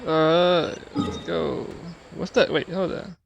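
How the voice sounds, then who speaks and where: Creaky-voiced gamer thinking aloud. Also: mid-range voice, fairly even intonation, takes it slowly, highs cut off. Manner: musing, hesitant, thinking aloud. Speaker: game streamer. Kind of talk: casual gameplay commentary. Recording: faint game music behind.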